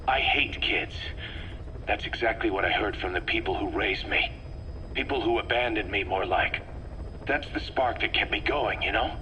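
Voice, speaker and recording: A man playing a gruff, guarded military commander in a video game, speaking tersely and defensively. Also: mid-range voice, bright, forceful tone, poor audio. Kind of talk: scripted video game dialogue over radio. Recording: radio tape with background noise.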